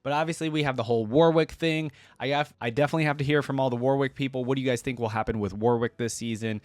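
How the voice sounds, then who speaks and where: A man's voice: mid-range voice, fairly even intonation, quick pace, no room sound. A young man hosting a casual reaction video, talking in a rambling, emphatic, chatty way. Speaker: YouTube reaction host.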